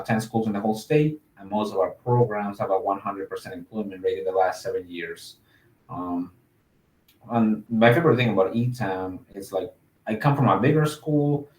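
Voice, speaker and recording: A man's voice: fairly low pitch, reverberant, low-quality recording. A man from Mexico, an admissions officer, answering interview questions in a casual, upbeat way with many fillers.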